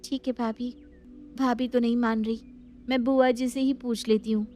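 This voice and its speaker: A woman's voice: on the high side, level tone, normal speed, darkish tone, soft background music, natural voice. A woman voicing a polite, considerate young daughter-in-law in a family story. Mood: pleading. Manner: polite, deferential.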